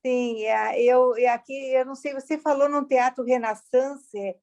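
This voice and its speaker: Female, older. High-pitched, gushing, conversational testimony from an audience participant. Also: steady tone, normal speed, darkish tone. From southern Brazil. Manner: effusive. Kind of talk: casual personal testimony.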